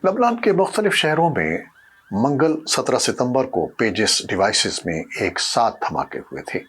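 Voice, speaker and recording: Husky, lowish male newsreader. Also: a bit bright. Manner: formal, measured newsreader delivery. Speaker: news presenter. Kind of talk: scripted news narration.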